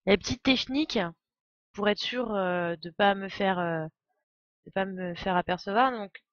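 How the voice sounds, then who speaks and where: A woman's voice: mid-range voice, measured pace, dry recording. Woman casually streaming, dry muffled sound. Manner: irreverent, joking, slangy. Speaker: game streamer. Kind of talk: casual live game commentary. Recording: dull, lo-fi microphone sound.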